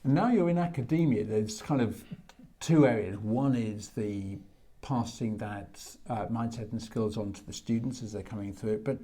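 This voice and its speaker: Mellow-voiced male interviewer, fairly low. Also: normal speed. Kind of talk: conversational interview questioning.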